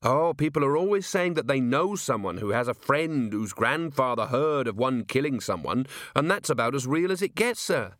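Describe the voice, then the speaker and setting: A man's voice: medium pitch, animated, moderate pace, few pauses, fairly bright tone, no echo, clean studio sound, voice pushed up, lightly acted. A man voicing an earnest, polite young watchman in an audiobook, explaining things patiently and precisely. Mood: earnest, reasoning. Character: earnest, polite, literal-minded young male city watchman. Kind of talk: audiobook character voice.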